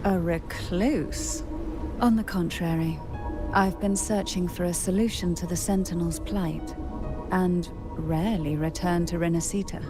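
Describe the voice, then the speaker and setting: A composed, enigmatic noblewoman played by a woman from a video game, head of an old family of poisoners. Her voice sits a little low and moves slowly, every phrase formal and deliberate. Clear game music plays behind her. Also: fairly even intonation, natural voice. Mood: composed, grave. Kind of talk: scripted video game character acting.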